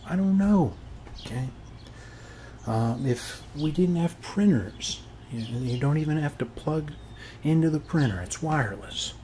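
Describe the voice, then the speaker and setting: Slow, rambling friendly neighbor played by a man. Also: fairly high pitch, lively intonation, dark tone, a little hiss or hum. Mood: musing, gentle, then friendly, earnest. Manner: rambling, hesitant, folksy, often adding "okay?". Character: friendly, folksy, rambling middle-aged suburban neighbor man. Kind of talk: ASMR roleplay, soft-spoken one-sided chat.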